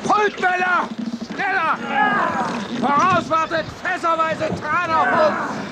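An actor playing a hard-driving whaling officer, shouting commands at his oarsmen in a radio drama. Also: very high voice, steady tone, slow pace. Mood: urgent, driving. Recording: very noisy open sea, boat and wind.

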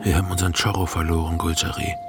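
An actor in a German radio play playing an older man mourning his friend, anguished, halting and full of remorse. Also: low-pitched, little pitch movement, over loud music. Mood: broken remorse. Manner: anguished, halting, breaking into whispers and wailing.

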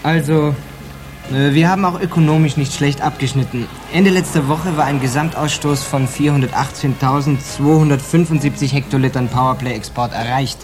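Radio play manager, male actor: slightly high voice, formal, dutiful, reporting tone. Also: steady tone, normal speed, music in the background, natural voice. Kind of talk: radio drama dialogue.

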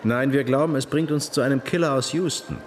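An actor playing a sober police detective in a radio crime drama, speaking in a terse, matter-of-fact way. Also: male voice, mid-range voice, brisk, a little hiss or hum, close to an everyday voice. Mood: businesslike.

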